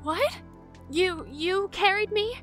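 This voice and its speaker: A woman plays a young rescued captive in an audio drama. Her voice is extremely high, timid and hesitant. Faint music plays behind her. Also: slow pace, fairly bright tone, full character voice. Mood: bewildered. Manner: hesitant, stammering.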